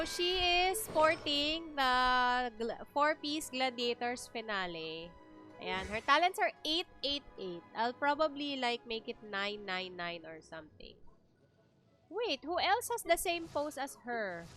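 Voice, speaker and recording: A woman's voice: high voice, sharp tone. A woman with a Filipino accent hosting a game livestream, chatting casually and thinking aloud as she switches between two languages.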